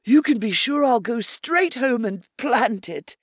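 A sly little male rabbit, put on by a woman reading aloud, meek and sweetly polite as he fawns over a gift. His voice rises and falls freely, drawn out and gentle, and the sound is close and dry. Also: a little high, expressive, a little slow, dry recording, pitched above the performer's own voice. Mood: grateful. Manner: polite, ingratiating, soft-spoken.

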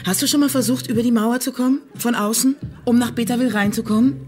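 An actress playing a brusque, sharp-tongued woman in a radio drama, speaking in a curt, colloquial manner. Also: on the high side, steady tone, fast, natural voice. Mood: grave, intense. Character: brusque, sharp-tongued female commune resident. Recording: studio radio play with music behind.

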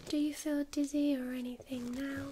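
High, gently whispering woman. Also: moderate pace, a little hiss or hum. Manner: soft, gentle, reassuring whisper with calm step-by-step instructions. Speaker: ASMR creator playing a first-aid medic. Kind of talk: whispered ASMR medical roleplay.

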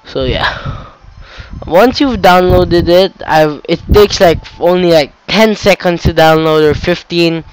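A man's voice: a little high, takes it slowly, smooth, no echo, rough recording. A teenage boy with a cold who rambles and corrects himself while explaining steps on a computer, swearing casually in a tutorial. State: has a cold. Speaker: tutorial maker. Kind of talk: casual screen-recorded tutorial. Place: indoor room at a computer.

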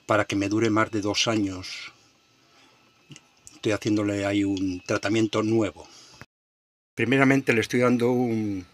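An older man from Spain in his sixties chatting to viewers in a casual vlog as he works on his boat and walks the beach. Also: lowish voice. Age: 60s. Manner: chatty, talks to viewers while walking and working. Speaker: retired sailor vlogger. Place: outdoors on a boat, beach and town. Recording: noisy outdoor camera audio.

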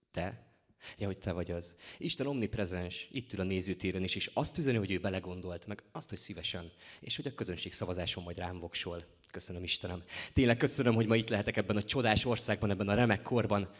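A man in his thirties with a low, deep voice delivers slam poetry live on stage. His phrasing is dramatic and rhetorical, building in swells and held back by deliberate pauses. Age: 30s. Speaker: slam poet.

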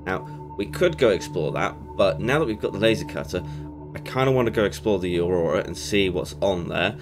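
Deep gravelly young man with a cold. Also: animated, fairly quick. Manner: chatty, rambling, self-correcting. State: slightly congested from a cold. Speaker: gaming channel host. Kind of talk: casual let's play commentary. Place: home gaming setup, indoors. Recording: game music playing under voice.

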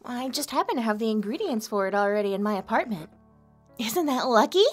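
A high-pitched, sugary young woman, played by a voice actress speaks over music in an ASMR roleplay. She is coy and hesitant, with a clingy, possessive devotion aimed straight at the listener. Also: lively intonation, brisk. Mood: lovestruck, possessive.

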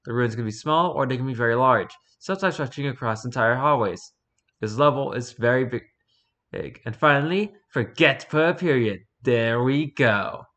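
Male streamer, mid-pitched and bright, casual wry read-aloud, slightly stumbling. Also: moderate pace. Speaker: streamer reading and reacting to wiki pages.

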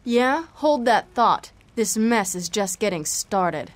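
An actress playing a sardonic, tough, vengeful half-vampire heroine with a dry, wisecracking delivery. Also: female voice, medium pitch, expressive, slow. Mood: wry.

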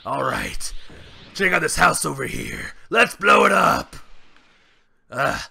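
A man voicing a hulking, grudge-holding male warrior in a gravelly, husky, roaring voice during fan gameplay voice acting. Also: on the high side, very animated, takes it slowly, crowd noise, full character voice. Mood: raging.

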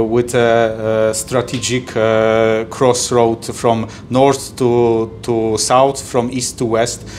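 A middle-aged man from Poland with a Polish accent, a trade agency executive answering interview questions in halting English full of fillers and restarts, recorded in an office by a camera at a distance. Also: medium pitch, a little hiss or hum. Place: office, indoors.

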